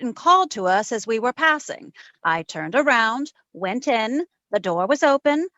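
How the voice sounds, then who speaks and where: A woman playing a sharp, wry private investigator in an old-time radio detective drama, narrating and trading teasing endearments. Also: a little high, no echo, highs cut off. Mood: brisk, composed.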